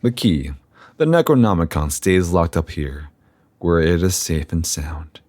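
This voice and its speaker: An actor playing a grave, ominous university scholar in a horror audio drama, speaking formally and deliberately with a theatrical air. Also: male voice, sits low, animated, darkish tone, no echo. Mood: ominous, zealous. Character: grave, ominous male university scholar guarding occult secrets.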